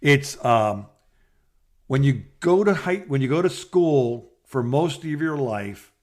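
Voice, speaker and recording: A middle-aged man co-hosting a podcast, telling anecdotes in a casual, halting and rambling way. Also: mid-range voice, expressive. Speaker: podcast co-host. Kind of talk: casual phone chat, storytelling.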